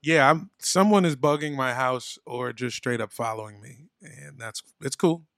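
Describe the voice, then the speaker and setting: A man co-hosting a podcast in casual comedic chat, cracking deadpan jokes. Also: mid-range voice, moderate pace, vocal fry, dry recording, studio quality. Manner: joking, deadpan asides. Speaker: podcast co-host.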